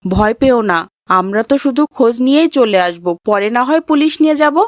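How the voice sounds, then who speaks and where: A woman's voice: a little high, lively intonation, moderate pace, no echo, clean studio sound, lightly acted. A woman playing an earnest, principled young boy in a cartoon. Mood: resolute. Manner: earnest, righteous. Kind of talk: cartoon voice acting.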